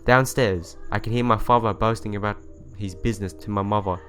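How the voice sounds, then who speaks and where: A man's voice: fairly low pitch, steady tone, fairly quick, fairly bright tone. A man reading visual novel narration and dialogue aloud as a let's play host, stumbling over and rereading the odd phrase, with game music behind him.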